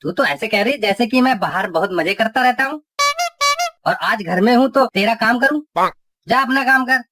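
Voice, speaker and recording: A woman's voice: fairly high pitch, normal speed, music in the background, natural voice. A woman voicing a grumbling, flirtatious husband and father in a cartoon comedy. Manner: bickering at family, smooth-talking.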